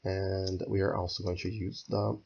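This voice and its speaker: A hoarse man who is losing his voice, walking through a game build step by step and thinking aloud as he goes. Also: low-pitched, flat delivery, moderate pace, a little mellow, dull audio. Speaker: game tutorial creator. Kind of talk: screen-recorded building tutorial.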